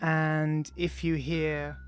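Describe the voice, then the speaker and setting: A man, the author, introducing and reading his own audiobook, speaking casually with frequent ums and pauses. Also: a little high, completely flat delivery. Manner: casual, hesitant, many ums between phrases. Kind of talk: audiobook introduction, loose spoken then read text. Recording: music playing faintly behind.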